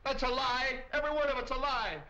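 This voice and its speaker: Defensive young suspect, muffled sound. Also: male voice, very high-pitched, fairly even intonation, bright, forceful tone, full character voice. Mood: anguished. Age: young adult. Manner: earnest, defensive, halting. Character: anguished, defensive young man accused of murder. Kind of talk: 1940s crime film acting. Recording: muffled old film soundtrack.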